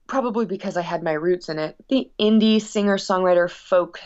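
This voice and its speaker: A woman's voice: fairly low pitch, brisk, a little mellow, muffled recording. A woman guest chatting casually in an interview about her work as a musician, rambling expressively with frequent asides.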